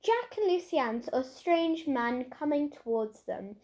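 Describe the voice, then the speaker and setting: A woman reading a children's story aloud in an expressive narrating style. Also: high-pitched, measured pace. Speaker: storybook reader.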